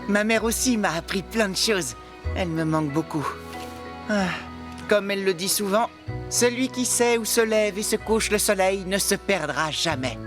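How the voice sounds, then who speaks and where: Woman playing an earnest young boy, eager, trusting, mid-pitched, children's cartoon dub, background music. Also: normal speed, lightly acted. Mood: sincere. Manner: wide-eyed, sincere.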